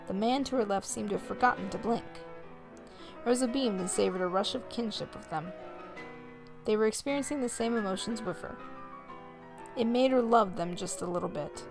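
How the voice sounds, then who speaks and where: A woman with noticeable creak in her mid-pitched voice narrates a visual novel for a gaming video. She reads in a measured, storybook way and drops into casual commentary, with music playing behind her. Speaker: host of a gaming video series. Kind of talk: let's play commentary, reading visual novel text aloud.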